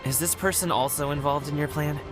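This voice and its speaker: A man's voice: on the high side, fairly even intonation, fairly quick, over music, lightly acted. An actor playing an earnest, modest young man in a video game, speaking in a casual, sincere way. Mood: surprised, admiring.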